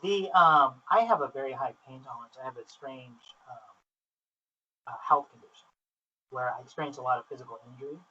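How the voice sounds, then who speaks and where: A man interviewing casually and telling stories in an informal, sweary, excitable way, on a flawed low-quality recording. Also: fairly quick, a little hiss or hum. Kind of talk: casual chat, animated anecdotes. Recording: distant, muffled lo-fi, technical faults.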